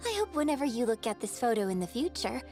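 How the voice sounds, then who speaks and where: A poised young female stage performer from a video game, speaks in a fairly high voice with a creaky edge. She is articulate and composed, friendly with a playful theatrical flair. Music plays softly behind her. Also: very animated, quick pace. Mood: friendly, upbeat.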